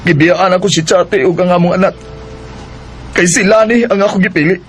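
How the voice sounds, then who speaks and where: An actor with a Cebuano Filipino accent playing a fickle, smooth-talking boyfriend in an emotive, exclamatory radio drama style. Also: male voice, high voice, brisk, slight background noise, lightly acted. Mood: distressed. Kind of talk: Philippine radio drama acting. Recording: music bed behind the voice.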